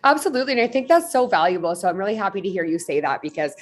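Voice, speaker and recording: A woman's voice: mid-range voice, sing-song, racing, faint music behind. A woman hosting a casual interview, responding enthusiastically and asking questions. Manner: enthusiastic, affirming. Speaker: host.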